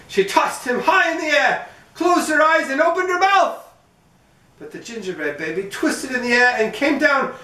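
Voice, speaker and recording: A man's voice: extremely high pitch, bright, forceful tone. A man reading a children's storybook aloud as host, narrating expressively and playfully for young listeners. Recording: roomy echo, mic at some distance.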